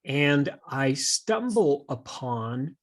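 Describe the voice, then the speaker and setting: A man with a slightly husky voice co-hosting a conversational podcast, explaining ideas in a thoughtful, halting way like a teacher. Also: on the high side, big pitch swings.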